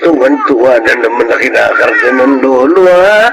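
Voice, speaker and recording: Actor as lively, doting Filipino grandfather. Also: medium pitch, moderate pace, lightly acted. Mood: fond. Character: doting older grandfather, warm and cheerful father. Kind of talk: Filipino radio drama acting. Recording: noisy radio drama ambience.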